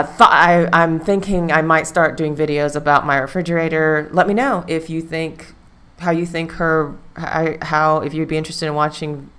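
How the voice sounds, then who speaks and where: A woman hosts a live stream in a loose, chatty way. Her voice sits low for a woman. She rambles and circles back with fillers as she talks. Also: fairly bright tone, clear, resonant tone. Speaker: livestream host. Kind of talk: casual live-stream chat.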